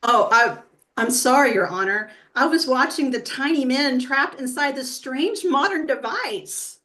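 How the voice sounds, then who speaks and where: A woman's voice: sits high, expressive, a little slow, sharp tone, heavily acted. A woman playing a thawed caveman lawyer in a comedy table read, solemnly addressing a jury with naive, mock-humble wonder. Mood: distracted.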